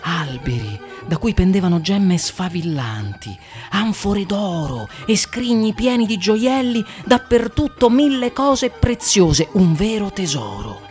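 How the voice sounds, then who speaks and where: A man narrates a fairy tale as a storyteller, with a very lively, swooping delivery. Music plays softly behind his voice as he reads. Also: sits high, takes it slowly. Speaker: guest reader of fairy tales.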